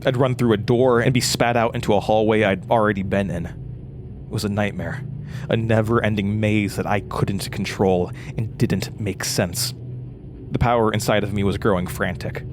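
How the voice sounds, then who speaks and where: An actor playing a haunted, frightened man recounting a horror ordeal in a halting, intense way, as in an audio drama. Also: lowish voice, steady tone, background noise, close to an everyday voice. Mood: frantic, haunted. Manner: halting, stammering over words, intense. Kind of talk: first-person horror audio drama narration.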